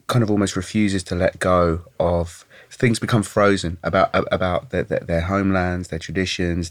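A man's voice: deep voice, no room sound. A man, an artist, chatting on a podcast and often stopping to restart his sentences. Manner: hesitant, many fillers and restarts. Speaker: artist and dialogue director. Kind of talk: casual podcast chat.

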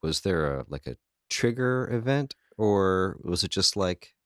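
A man hosts a casual radio interview. His voice is a little low and swings animatedly in pitch, with a close, dry studio sound. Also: expressive, measured pace, fairly bright tone, no room sound. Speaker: radio host.

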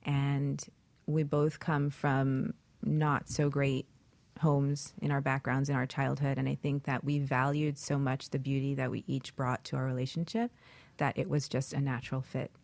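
A woman's voice: very deep voice, level tone, normal speed, gravelly, hardly any pauses, a little mellow, dry recording. A woman in casual, reflective conversation as part of a panel talk.

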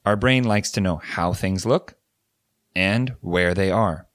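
A man with a very low voice talks through ideas in a relaxed, thoughtful way, often circling back to rephrase himself. The sound is close and dry, with no room around it. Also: slow, dry recording. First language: English. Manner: thoughtful, explanatory, with frequent restarts. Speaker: podcast co-host. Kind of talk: casual educational chat.

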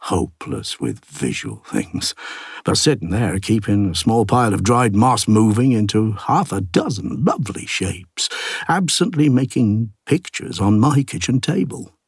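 An ageing reflective loner, audiobook narration, lowish pitch, leisurely pace, plain-spoken, wry, gruff. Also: male voice, very animated, unhurried, no echo, studio quality, exaggerated voice. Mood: wistful, musing. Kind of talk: first-person literary audiobook narration.

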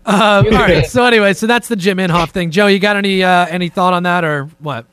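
A man's voice: high-pitched, brisk, a bit bright, clean tone, no room sound. A man hosting a podcast in a casual, profane, joking style, steering the conversation. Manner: informal, joking, takes charge of the talk. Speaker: podcast host. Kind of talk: casual profane talk show chat. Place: indoors, remote conference call set up with camera.

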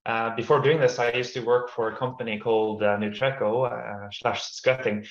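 Norwegian-raised guy on a video call, low gravelly voice, roomy muffled sound, kinda halting. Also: fairly even intonation. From northern Norway. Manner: measured, with frequent repeats and fillers. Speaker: startup CEO guest. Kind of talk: interview answers.

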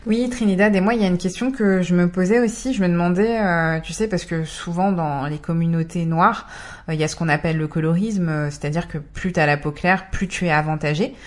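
Low-voiced woman asking questions. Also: a little fast. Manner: thoughtful questioning, with fillers. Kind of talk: casual podcast conversation.

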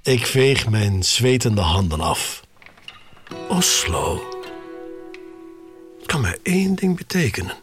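A man narrating an audio drama in the first person, in a measured, expressive way with pauses between short phrases. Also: mid-range voice, faint music behind.

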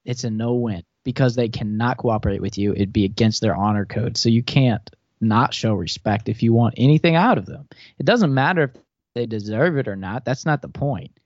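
A man's voice: mid-range voice, brisk, no room sound. A man who grew up in Thailand, a podcast guest, talking politics casually and rambling with many restarts. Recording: dull lo-fi, dry sound.

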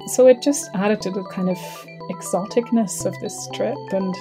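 A woman's voice: mid-range voice, normal speed, music in the background. A woman artist talking reflectively in an interview, with lots of hesitations and filler words. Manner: reflective, hesitant, many ums. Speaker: artist guest. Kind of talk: conversational interview.

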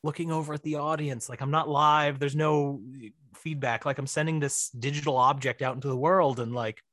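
A man chatting casually as a podcast guest, in a halting, self-deprecating way. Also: a little high, fairly even intonation, fairly bright tone, no echo, clean studio sound. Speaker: podcast guest, writer and director. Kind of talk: casual conversation.

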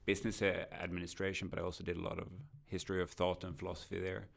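A man from Sweden, a native Swedish speaker, talks through a casual podcast as the guest. His voice sits very low and has a gravelly grain. He speaks in a measured way and drops in dry, witty asides. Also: monotone. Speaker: guest lecturer.

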